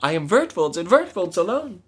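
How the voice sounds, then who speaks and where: A man voicing a terrified young noblewoman in a dramatic gothic reading, pleading in a strained falsetto. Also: big pitch swings, normal speed, a bit bright, husky, exaggerated voice. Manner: pleading, breathless cries.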